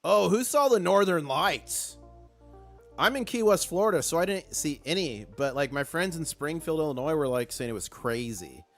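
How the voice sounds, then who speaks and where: A man hosting a casual live stream with a hoarse voice, chatty and excitable, reading viewer comments aloud. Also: on the high side, normal speed, faint music behind.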